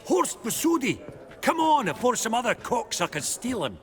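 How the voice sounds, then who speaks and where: An actor with a Scottish accent playing a jovial, foul-mouthed, hearty banker in a video game. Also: male voice, extremely high pitch, sing-song, normal speed, fairly bright tone, heavily acted. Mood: chummy.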